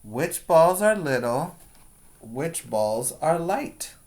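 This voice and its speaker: Very slow-paced male preschool teacher reading aloud. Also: a little high, sing-song, darkish tone. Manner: deliberate, instructional phrasing with repeated questions. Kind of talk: read-aloud for young children with explanatory asides.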